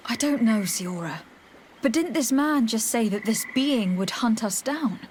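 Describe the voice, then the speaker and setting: An actress playing a composed, inquisitive female adventurer in a video game, with a measured, questioning delivery. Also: fairly high pitch, animated, a little hiss or hum. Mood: concerned. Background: game music under the voice.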